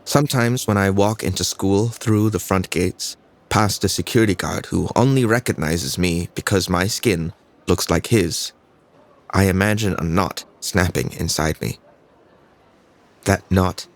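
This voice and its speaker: A young man performing a scripted dramatic monologue as a troubled, introspective student telling his own life. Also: deep voice. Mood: brooding.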